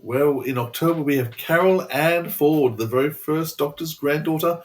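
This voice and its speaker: A man's voice: a little high, moderate pace. An enthusiastic Australian man chatting casually as a podcast co-host, rambling along as he goes.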